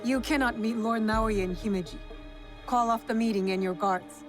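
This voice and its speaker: A woman's voice: fairly high pitch, fairly even intonation, moderate pace, close to an everyday voice. A woman playing a young, defiant and determined warrior of feudal Japan in a video game, blunt and challenging. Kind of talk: cinematic video game acting. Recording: faint music behind the voice. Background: faint background music.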